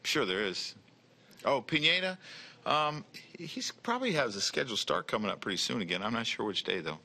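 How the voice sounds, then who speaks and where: A man in his forties, a baseball manager, answering reporters at a press conference table mic, measured and often repeating words as he starts. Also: medium pitch, very animated, vocal fry, pushed and bright. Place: press room in front of a team backdrop. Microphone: table mic with a logo flag.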